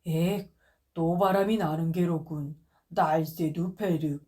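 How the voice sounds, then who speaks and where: A woman doing a gruff grumbling man. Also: on the low side, fairly even intonation, slow, warm tone. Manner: grumbling, curt.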